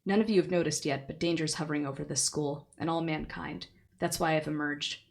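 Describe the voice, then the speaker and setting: A woman's voice: sits low, fairly even intonation, fairly quick, vocal fry, darkish tone. Woman doing a cryptic cloaked entity. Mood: grave. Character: cryptic cloaked androgynous entity, calm and grave.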